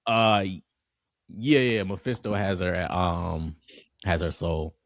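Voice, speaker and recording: Man, deep voice, podcast co-host, informal slangy explainer, casual chat, dry close sound. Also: slow pace, vocal fry, no echo. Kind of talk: casual pop culture chat.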